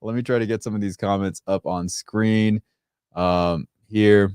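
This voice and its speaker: A man's voice: fairly low pitch, fairly even intonation, a little slow, a little mellow, clear, resonant tone, no echo, clean studio sound. A man born in Sri Lanka, hosting a casual tech livestream and reading viewer comments, rambling with lots of fillers. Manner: chatty, rambling, many fillers and restarts.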